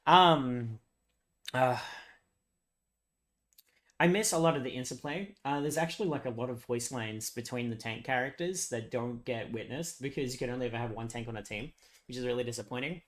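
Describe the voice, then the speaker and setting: A hoarse young man chatting casually with viewers on a live stream, rambling, laughing often and swearing freely. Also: medium pitch, normal speed, long pauses, fairly bright tone.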